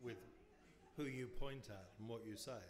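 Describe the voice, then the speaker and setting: Male moderator, probing questioner, mid-pitched, restarts often. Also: steady tone, moderate pace, a crowd in the background. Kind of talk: interview questions.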